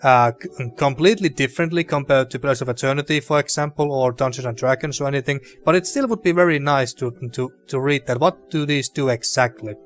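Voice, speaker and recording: A man's voice: medium pitch, fairly quick, hardly any pauses, soft background music. Man with a dry, fading voice. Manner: relaxed, thinking aloud, mildly sweary. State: dry throat, losing voice. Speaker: gaming video host. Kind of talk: casual gameplay commentary.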